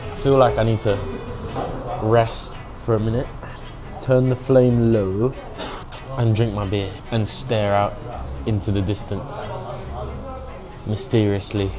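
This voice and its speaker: Young English man with a low voice. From England. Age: young adult. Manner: chatty, cheeky, mixes in Mandarin phrases. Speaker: food vlogger. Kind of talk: casual food vlog commentary. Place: hotpot restaurant.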